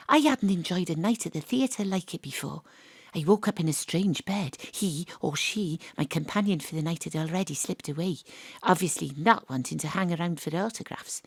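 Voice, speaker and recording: Gravelly lady gumshoe, deadpan noir vibe, dry close mic. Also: fairly low pitch, no room sound. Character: wry hard-boiled woman gumshoe detective. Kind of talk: noir first-person narration and dialogue. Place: home studio.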